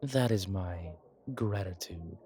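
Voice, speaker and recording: A man playing a suave, grateful old vampire in a roleplay audio, speaking formally with pauses and quiet amusement. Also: low-pitched. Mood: warmly amused. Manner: genteel, formal, hesitant pauses, soft chuckles. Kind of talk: intimate one-sided roleplay audio drama.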